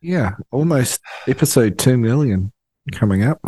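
A man co-hosting a podcast by remote call, deadpan and given to giggling as he feeds jokes. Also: medium pitch, unhurried, husky, no room sound, poor audio. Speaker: podcast co-host. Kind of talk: casual podcast banter. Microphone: remote call mic.

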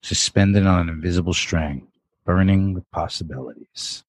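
A man plays a haunted, jaded man of forty in a table read of a TV drama. His voice is very low and sounds close and dry, with a defiant edge. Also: moderate pace, dry recording. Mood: brooding narration.

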